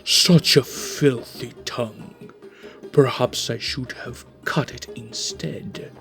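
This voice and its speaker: A man doing a sadistic orc thug who savours each threat, speaking slow and gravelly and sinking into hissing whispers. He stays in a mid register, with faint music behind the storybook reading. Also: mid-range voice, a bit bright. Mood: menacing. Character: sadistic menacing male orc enforcer.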